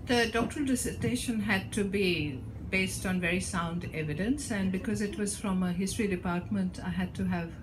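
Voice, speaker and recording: A woman author, a Bengali speaker, answers questions at a bookstore launch in a measured, hesitant way full of ums. Her pitch sits in a middle range for a woman. The live recording carries clear background noise. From India, Bengal. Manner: thoughtful, many ums and restarts. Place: bookstore event. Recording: noisy live event recording.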